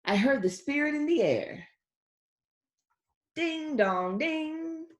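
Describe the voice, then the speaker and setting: A woman's voice: sits high, expressive, slow, lo-fi sound, voice pushed up. A woman voicing a joyful little girl from a Christmas storybook, drawing out her words and singsonging the sound effects.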